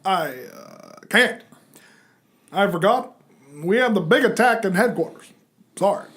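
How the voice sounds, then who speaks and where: A man playing a beleaguered comic-book henchman and father, sheepish and defensive, making halting excuses in an amateur dramatic reading. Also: high-pitched, a bit bright.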